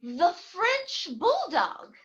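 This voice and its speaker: A woman's voice: very high voice, very animated, very slow, lo-fi sound. A woman hosting a casual podcast lesson over a video call, bubbly and laughing often. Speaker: host and English teacher.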